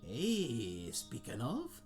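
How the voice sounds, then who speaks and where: Man acting a folksy old tavern keeper, animated pitch. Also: extremely slow, soft background music, exaggerated voice. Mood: hospitable, joking. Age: older. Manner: rambling, folksy, hesitant, chuckling aside to a guest. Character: friendly old male tavern keeper, folksy, chatty, self-deprecating. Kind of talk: fantasy audio roleplay, addressed to the listener.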